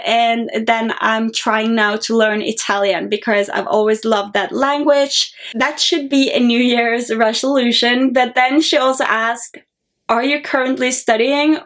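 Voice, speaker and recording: A woman's voice: on the high side, fairly bright tone. A young woman from Norway with a Norwegian accent, chatting casually as a beauty vlogger answering viewer questions. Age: young adult. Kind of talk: casual Q&A vlog.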